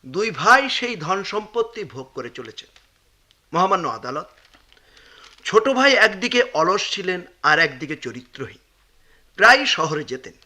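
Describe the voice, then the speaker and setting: Sharp, high-voiced male lawyer. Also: full character voice. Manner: oratorical, insistent, formal. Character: pointed, formal courtroom lawyer questioning witnesses. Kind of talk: radio drama acting.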